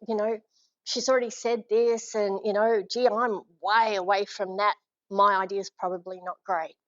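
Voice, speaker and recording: A woman with an Australian accent giving a live leadership talk, energetic and encouraging, and asking her audience questions. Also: medium pitch, no room sound, lo-fi sound. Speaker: leadership speaker. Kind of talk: live presentation to a small audience. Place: function room with an audience.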